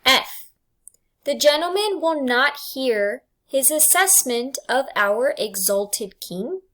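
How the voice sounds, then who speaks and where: A woman teacher reading test questions and answer choices aloud in a deliberate, enunciated way for students. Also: high-pitched, lively intonation, fairly bright tone. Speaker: English language arts teacher.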